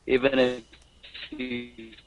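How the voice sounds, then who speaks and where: A man's voice: mid-range voice, completely flat delivery, takes it slowly, soft background music, rough recording. A man who fights professionally, giving casual, blunt answers off the cuff on a media conference call.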